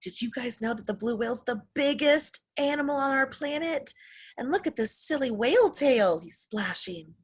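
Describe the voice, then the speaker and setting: A woman reading a picture book to young children, expressive and exclamatory, addressing her listeners directly. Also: high voice, moderate pace, breathy edge. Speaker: children's story reader.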